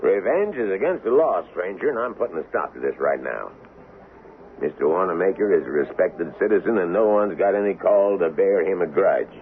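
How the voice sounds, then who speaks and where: A hissy, muffled vintage radio recording with a frontier sheriff. His deep voice rises and falls broadly as he blusters in a folksy, officious way. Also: lively intonation, brisk. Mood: stern, blustering. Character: blustering, folksy small-town Old West sheriff. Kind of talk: old-time radio drama acting.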